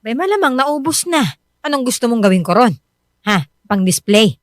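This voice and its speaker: An actress playing a devoted, hard-pressed wife and mother who is quick to bristle, with expressive, emphatic delivery in a radio drama. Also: on the high side, dry recording, clean studio sound. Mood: indignant. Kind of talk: Filipino radio drama acting. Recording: music bed under the voice.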